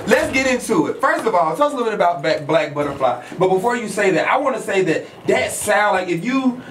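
A man with a high, very lively voice hosts a casual, slangy interview. He is in a roomy studio, a bit away from the mic, with clear background noise. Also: fast, recorded from a distance. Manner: animated, slangy. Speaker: radio host. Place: small radio studio, indoors. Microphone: studio microphone on boom arm.

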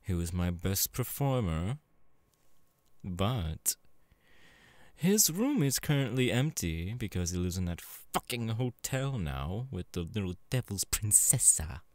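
Man acting as sleazy male demon director, irritable, coaxing, very animated pitch, slow drawl, ASMR roleplay. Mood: smooth-talking, wistful. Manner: domineering, coaxing, drawn-out delivery.

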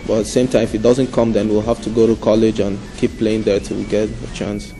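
A man's voice: lowish voice, level tone, brisk, warm tone, soft background music. A young man answering interview questions indoors in an easygoing, modest way. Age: young adult. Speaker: interviewee, footballer's son. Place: indoor room.

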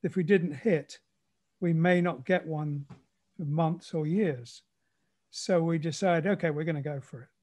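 An older man in casual conversation, speaking in measured, short, emphatic phrases. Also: fairly high pitch, darkish tone. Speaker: conversation partner.

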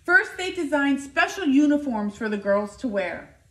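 A woman in her fifties reading a children's picture book aloud indoors, with expressive, deliberate, emphatic delivery. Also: sits high. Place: living room by a fireplace, indoors. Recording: roomy, echoing indoor sound.

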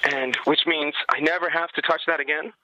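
Bright, forceful man in casual interview. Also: fairly high pitch, steady tone, fairly quick, highs cut off, slight background noise. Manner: blunt, swearing, informal. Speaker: podcast guest, activist.

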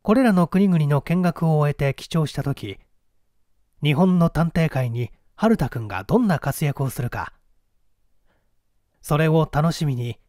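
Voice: mid-range voice, animated, unhurried, dark tone, no room sound, clean studio sound. An audiobook narrator reading a story theatrically, addressing listeners in a formal storyteller manner.

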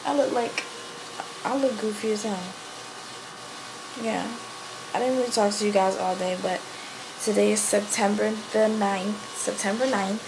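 A young woman talking casually to her phone camera in a small bedroom, relaxed and chatty with drawn-out fillers. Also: medium pitch, background noise. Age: young adult. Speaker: vlogger. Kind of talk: casual vlog talk to camera. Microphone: phone held close.

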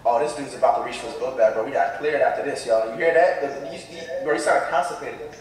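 A man in his twenties hosting a reaction video, excitable and loud, with a voice that cracks up high. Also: quick pace. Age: 20s. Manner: excitable, loud, swearing, laughing. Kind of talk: casual reaction commentary. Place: dim room at night with a large window. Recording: very echoey room, far from the mic.

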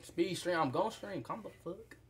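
A man's voice: high voice, normal speed, noisy background. A young man joking and trash talking with friends, heard from across the room. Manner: loud teasing, trash talk. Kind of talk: casual joking banter.

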